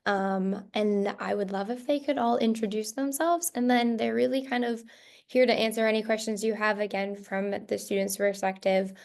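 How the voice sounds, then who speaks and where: A woman's voice: medium pitch, steady tone, normal speed, vocal fry, darkish tone. A woman moderating a school's virtual information session over a video call, casual and encouraging as she hands the floor to others.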